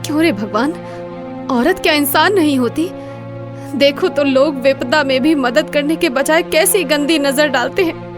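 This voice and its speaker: A woman voicing a devout, meek young village wife in an animated Hindi moral story, pleading and dutiful. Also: sits high, unhurried, over music. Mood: aggrieved.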